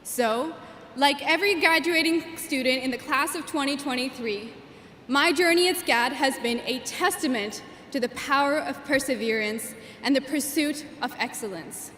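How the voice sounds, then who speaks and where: A young woman in her twenties whose first language is Gujarati, giving an earnest, measured commencement speech as class valedictorian at a podium microphone. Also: sits high, a bit bright, slight background noise. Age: 20s. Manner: earnest, measured, with emphatic phrasing. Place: large commencement hall. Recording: echoey hall with long reverb.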